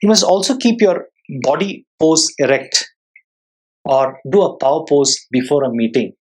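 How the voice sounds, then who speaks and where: An instructor giving an explanatory lesson in a measured, formal, clearly enunciated way. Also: mid-range voice, lively intonation, rough recording. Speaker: soft skills instructor and public speaker.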